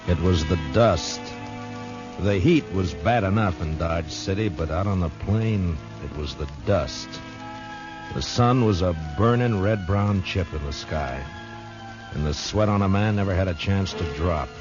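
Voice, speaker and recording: A tough, weary frontier marshal with a low American voice, in hard-boiled 1950s radio western style. The vintage recording is dull and limited. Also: male voice, unhurried, over music. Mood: grim, weary.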